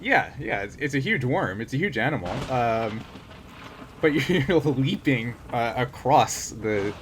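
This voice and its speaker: A man runs a tabletop game as its game master in casual, conversational rulings. His voice sits at a middle pitch, with a little noise underneath. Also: animated, unhurried.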